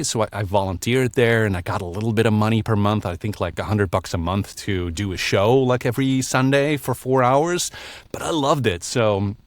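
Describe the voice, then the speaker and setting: A man's voice: on the low side, no echo. A middle-aged man from Belgium, a voiceover artist chatting casually and laughing often from his small home recording booth. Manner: relaxed, chatty, laughs often, restarts sentences. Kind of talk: casual interview chat.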